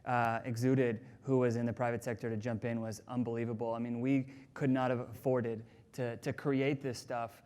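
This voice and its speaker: Man, crisp resonant voice, flat intonation, informal conference co-presenter, organization staffer. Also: mid-range voice, normal speed, few pauses.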